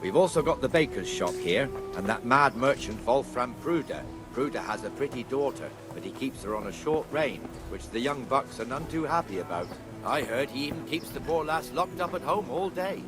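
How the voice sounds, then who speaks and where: Game role: male actor as gruff medieval guardsman, blunt and chatty, mid-pitched, music clearly behind. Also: animated, normal speed, lightly acted. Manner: blunt, conversational. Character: gruff town guardsman, chatty, contemptuous of beggars. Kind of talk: video game voice acting.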